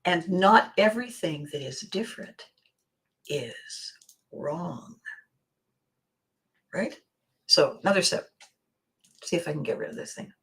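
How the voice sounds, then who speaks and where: Slightly hoarse, low-pitched woman vlogger. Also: a little slow, pauses often. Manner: expressive, acting out bits of dialogue. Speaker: vlog host. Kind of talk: casual motivational monologue to viewers.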